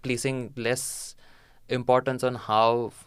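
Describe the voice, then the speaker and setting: Man, twenties, Indian accent, podcast guest, hesitant filler-heavy talk, clear resonant voice, mid pitch. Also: little pitch movement, slow, no echo. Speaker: podcast guest, master's student. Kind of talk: casual interview conversation.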